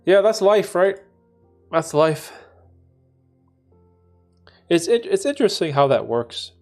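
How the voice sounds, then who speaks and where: A man's voice: high-pitched, big pitch swings, takes it slowly, darkish tone, faint music behind. A man in his late thirties hosting a casual livestream, talking in a thoughtful, rambling way and often tagging his sentences with "right?". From Canada. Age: 30s. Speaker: livestream host answering chat. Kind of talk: casual reflective livestream chat.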